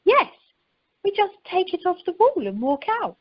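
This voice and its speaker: A woman's voice: very high-pitched, wildly swooping pitch, moderate pace, dry recording, heavily acted. A woman playing a mischievous, impulsive, art-mad young bride in an amateur radio play over the telephone. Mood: defiant. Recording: muffled telephone conference line.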